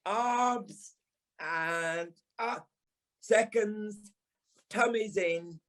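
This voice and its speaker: An actor playing a camp, sharp-tongued British dance teacher and former stage dancer in an audio play, theatrical and sarcastic. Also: male voice, sits high, extremely slow, soft background music, heavily acted. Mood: sarcastic, scolding. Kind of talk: audio play monologue and dialogue.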